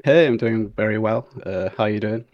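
Easygoing man, low voice, dry sound. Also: normal speed, no room sound, muffled recording. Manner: easygoing, tentative, with fillers. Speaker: podcast guest, game company QA analyst. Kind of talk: casual chat.

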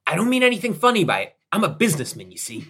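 Man putting on a slick salesman voice, raised pitch, bouncy, pushy pitch patter. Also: big pitch swings, fairly bright tone, exaggerated voice. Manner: fast-talking sales pitch, eager. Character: pushy middle-aged male huckster salesman.

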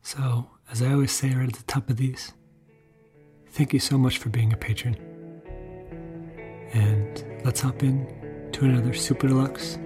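Male podcast intro voice, mid pitch, gentle and unhurried, for a sleep show, light music underneath. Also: fairly even intonation. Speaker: podcast editor introducing a special episode. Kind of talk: soft-spoken bedtime podcast introduction.

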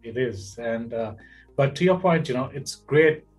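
A man's voice: medium pitch, animated, a little slow, faint music behind. Rambling male podcast host. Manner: rambling questions, tags with "right?" and "you know". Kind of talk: casual interview conversation.

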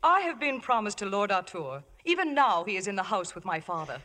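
An actress playing a spirited young Philistine beauty, teasing and bold, in a 1950s radio drama. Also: female voice, mid-range voice, very animated, fairly quick, bright, forceful tone. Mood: cool, teasing.

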